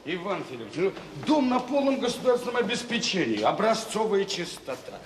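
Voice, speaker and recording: Self-satisfied deputy chief, high animated voice, defensive, dismissive, radio play. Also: male voice, fairly quick. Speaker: actor in a radio drama. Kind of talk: naturalistic Soviet radio drama acting. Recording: echoey reverberant radio play, faint noise.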